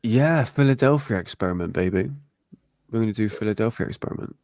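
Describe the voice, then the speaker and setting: A man's voice: fairly low pitch, expressive, fast, darkish tone, no room sound, studio quality. A man co-hosting a casual live-stream chat, sounding congested and talking in a laid-back, friendly way. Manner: laid-back, friendly, calls the other host mate. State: congested, blocked nose. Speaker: podcast co-host.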